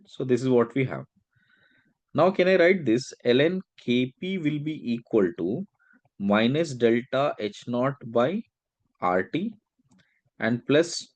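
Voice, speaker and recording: A man's voice: medium pitch, a little mellow, no room sound. A man giving a step-by-step science lecture as a teacher, explaining and often checking in with short questions. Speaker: chemistry teacher. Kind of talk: step-by-step worked-problem lecture.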